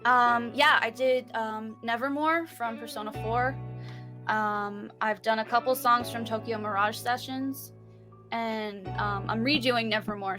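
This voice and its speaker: A woman being interviewed as a singer, talking in an informal, giggly way. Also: fairly high pitch. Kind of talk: casual interview chat.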